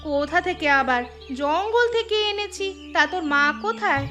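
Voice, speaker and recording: A woman's voice: very high voice, animated, normal speed, fairly bright tone, soft background music. A woman playing a helpful, steadfast village aunt in a cartoon, earnest and reassuring in manner. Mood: matter-of-fact. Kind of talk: cartoon dubbing.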